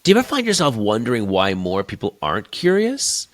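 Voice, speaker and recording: A man in his fifties hosting a conversational interview, telling stories in an animated way and acting out his own stage lines. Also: on the low side, fairly quick, dry recording. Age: 50s. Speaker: host and keynote speaker.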